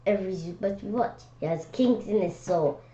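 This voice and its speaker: A woman reading a storybook aloud with casual asides, in a halting way, stumbling over and repeating words. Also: medium pitch, warm tone, dull audio. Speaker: read-aloud presenter.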